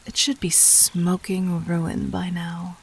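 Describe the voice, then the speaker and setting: A regal, calculating demon queen played by a woman addresses her would-be assassin in an audio roleplay. She speaks very slowly and formally, her soft voice low and creaky at the edges, often sinking toward a whisper. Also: little pitch movement, slight background noise. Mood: cold, composed. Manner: measured, regal, formal, deliberate.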